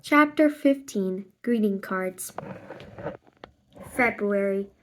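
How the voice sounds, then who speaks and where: Slow-paced woman reading a story aloud. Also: medium pitch, expressive, low-quality recording. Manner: voices characters and sound effects expressively. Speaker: read-aloud narrator. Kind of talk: storybook read-aloud with acted dialogue and spoken sound effects.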